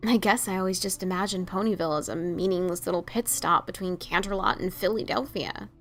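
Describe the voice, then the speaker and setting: Woman playing a brash, creaky-voiced pony adventurer. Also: on the low side, a little fast, a bit bright, faint music behind. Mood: rueful. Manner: hesitant, stammering, casual. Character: brash, self-doubting female pegasus pony adventurer-writer. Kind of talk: audio drama dialogue of fan fiction.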